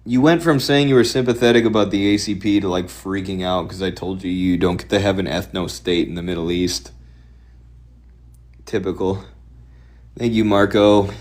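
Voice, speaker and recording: A Midwestern man on a live stream, riffing on politics in a loose, rambling way with a dry, sardonic edge. His voice sits fairly low, and his delivery jumps quickly from point to point. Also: steady tone, a little mellow. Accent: Midwestern American. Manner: rambling, fast-shifting, sardonic.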